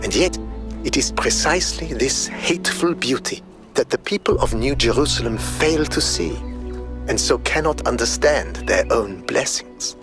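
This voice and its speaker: A man playing a grave, philosophical robot explorer who scorns nature, delivering a measured, eloquent game audio log monologue. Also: medium pitch, expressive, music in the background, natural voice. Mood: brooding awe.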